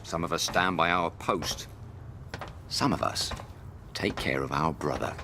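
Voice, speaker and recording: A weary, loyal old soldier in a game. His extremely low, gravelly voice drags slowly through solemn, deferential musings. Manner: slow, deferential, philosophical. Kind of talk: video game cutscene acting. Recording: noisy ambience behind low voice.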